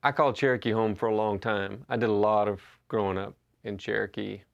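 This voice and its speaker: A man, telling stories in a relaxed, conversational sermon. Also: lowish voice, fairly quick, vocal fry, muffled recording. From Western North Carolina. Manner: conversational storytelling with frequent uh and restarts. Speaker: pastor. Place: church sanctuary.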